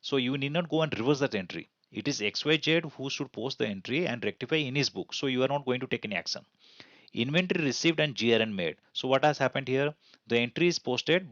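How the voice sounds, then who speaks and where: A man's voice: medium pitch, a bit bright, dry recording, highs cut off. A man with an Indian accent giving an explanatory accounting tutorial in a didactic way, asking and answering his own questions. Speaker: accounting instructor. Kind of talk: explanatory tutorial over slides.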